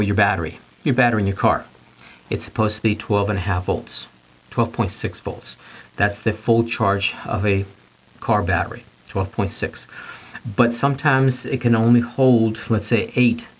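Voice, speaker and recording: A middle-aged man with a low, husky voice teaches HVAC basics to camera. He talks plainly and repeats the key points. He asks questions and answers them himself. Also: poor audio. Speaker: HVAC instructor. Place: studio in front of a whiteboard.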